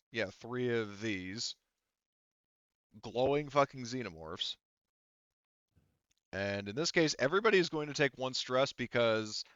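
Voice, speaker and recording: A man acting as game master of a tabletop roleplaying game, talking informally and haltingly with some swearing. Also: medium pitch, a bit bright, smooth, dry recording, highs cut off.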